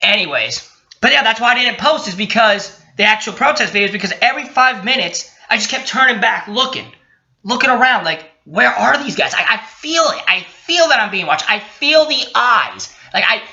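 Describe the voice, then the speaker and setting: A man's voice: very high-pitched, animated, normal speed, bright, forceful tone. A man giving a casual, rant-like commentary as a vlogger, swearing often and sounding indignant and sarcastic. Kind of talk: casual rant-like commentary while reading a report. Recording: dull lo-fi sound.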